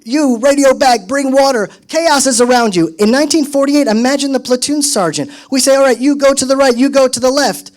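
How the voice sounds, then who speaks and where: A man in his thirties telling an animated story in a speech to an audience, acting out quotes. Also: extremely high pitch, fairly quick, hardly any pauses. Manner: animated, acts out quotes in raised voice. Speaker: speaker at a solidarity event.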